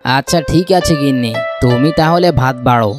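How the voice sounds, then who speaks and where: A man's voice: mid-range voice, fairly quick, music in the background, natural voice. A man voicing a humble village farmer, an affectionate father and husband, in a cartoon. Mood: businesslike.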